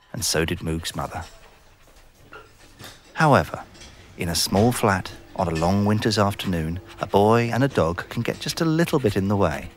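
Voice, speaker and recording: A man narrating a scripted children's story. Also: deep voice. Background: music.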